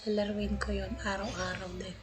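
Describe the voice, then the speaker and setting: A woman's voice: mid-range voice, level tone, fairly quick. Filipino woman streamer chatting over game music. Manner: mixes two languages mid-sentence. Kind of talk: casual live stream chat. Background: game music and dialogue.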